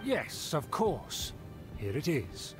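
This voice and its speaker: Snooty noble villain in a game, kinda high voice, swoops around a lot, pompous, music behind. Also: male voice, very animated, takes it slowly. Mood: haughty. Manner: pompous, theatrical, formal wording. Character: haughty, scheming aristocrat man craving his clan's rule.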